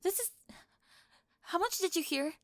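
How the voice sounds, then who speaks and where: A woman's voice: extremely high pitch, lively intonation, a little slow, a bit bright, dry recording, clean studio sound, exaggerated voice. A woman playing a bossy, dramatic teenage cheerleader, haughty and sarcastic, sputtering defensively in an audio roleplay. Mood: panicked. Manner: haughty, sarcastic, pompous when cornered, sputtering. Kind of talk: ASMR audio roleplay acting.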